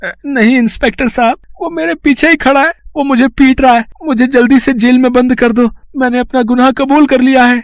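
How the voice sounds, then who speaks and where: A man voicing a greedy, cowardly brother-in-law who whines and pleads in a high, frantic voice. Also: huge pitch swings, unhurried, breathy edge, no echo, clean studio sound, full character voice. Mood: pleading. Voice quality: shrill, panicky whine when scared. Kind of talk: animated storybook voice acting.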